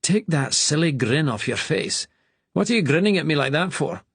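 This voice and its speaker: A man voicing a severe male schoolmaster with a Scottish accent and a sharp, barking way of talking. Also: fairly high pitch, animated, moderate pace, creaky, husky, no echo, voice pushed up, heavily acted. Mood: sharp, scolding.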